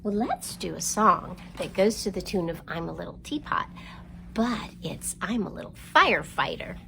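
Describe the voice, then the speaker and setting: A woman presenting a storytime for young children, reading and explaining in an expressive, simple, encouraging way. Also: on the low side, normal speed, gravelly, a little hiss or hum. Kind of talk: read-aloud storytelling and instruction for young children.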